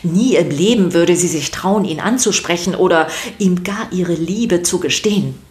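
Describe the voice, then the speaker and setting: Woman narrating a kids podcast, scripted, voice a bit on the low side. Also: normal speed, darkish tone.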